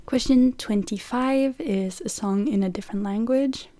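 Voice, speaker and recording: A woman's voice: a little high, measured pace, mellow, no echo. A young woman from Norway with a Norwegian accent, chatting casually and listing songs with lots of "like" fillers. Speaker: vlogger. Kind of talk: casual chat.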